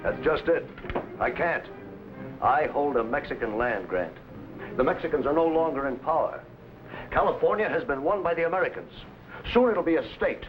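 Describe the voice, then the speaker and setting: A secretive, worried landowner with a higher-pitched voice, giving clipped orders with urgency. It sounds like a vintage adventure drama, dull in fidelity, with loud music behind. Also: male voice, big pitch swings, moderate pace. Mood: grim. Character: worried, secretive, commanding landowner fearing gold will ruin him. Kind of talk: 1950s adventure drama acting.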